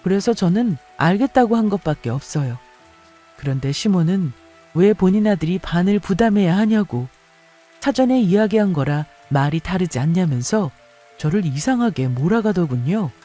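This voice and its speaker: Woman reading a radio story, mellow relaxed voice, quiet music behind. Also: lowish voice, normal speed. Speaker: story narrator. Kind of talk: scripted first-person story narration.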